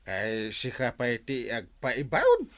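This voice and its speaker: An actor playing an excitable village drinking buddy in an Ilocano comedy radio drama, with an Ilocano accent. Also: male voice, mid-range voice, takes it slowly, heavily acted. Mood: teasing.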